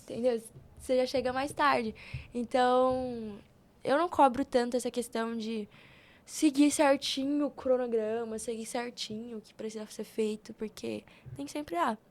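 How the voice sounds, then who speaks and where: High-voiced young Brazilian woman, chatty and emphatic. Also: normal speed. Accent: Brazilian Portuguese. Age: young adult. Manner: animated, slangy, emphatic with repetition. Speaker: podcast guest. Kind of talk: casual, chatty interview answers.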